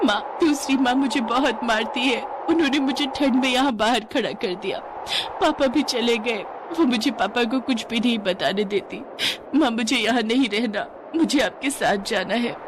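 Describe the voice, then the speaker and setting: A woman's voice: fairly high pitch, normal speed, noisy background, voice pushed up, close to an everyday voice. A woman voicing a sweet, mistreated little girl in a story, childlike and plaintive. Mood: tearful, pleading.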